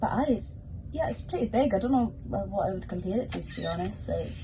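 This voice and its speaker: A woman's voice: mid-range voice, animated, fast talker, noisy background. A Scottish woman, a pantomime performer, chatting informally as she takes questions from children. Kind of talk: casual spoken question-and-answer for children.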